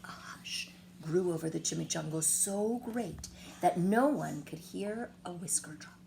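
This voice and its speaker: A woman reading a children's picture book aloud in an expressive, dramatic storytelling manner. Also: on the low side, gravelly, slight background noise. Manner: expressive, dramatic pauses and whispers.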